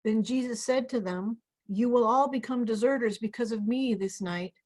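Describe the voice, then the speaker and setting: Woman, highish voice, pastor, dramatic scripture reading, video call. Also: normal speed, darkish tone, low-quality recording. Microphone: video call microphone.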